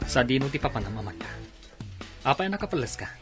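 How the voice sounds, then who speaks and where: A man's voice: mid-range voice, big pitch swings, fast, a bit bright, soft background music. A man acting a suspicious, accusing husband in an Ilocano radio drama.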